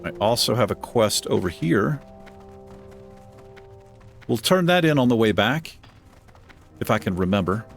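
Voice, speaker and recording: A man with a deep voice hosting a gaming channel, chatting casually and self-deprecatingly while he plays. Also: expressive, measured pace, gravelly, long pauses, faint music behind. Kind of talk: casual gameplay commentary. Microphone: desk microphone.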